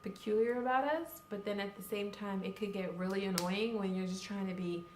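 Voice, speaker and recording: A woman's voice: mid-range voice, fairly even intonation, brisk, a little mellow, slight background noise. Chatty young woman in an echoey room. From Cameroon. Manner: chatty, lots of fillers like "like" and "you know". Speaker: vlogger. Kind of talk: casual vlog chat.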